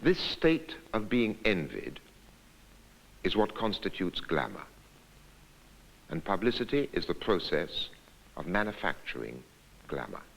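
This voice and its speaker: Deep, gravelly man narrating deliberately. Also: big pitch swings, a bit bright, low-quality recording. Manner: measured, deliberate, reflective delivery. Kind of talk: scripted documentary narration.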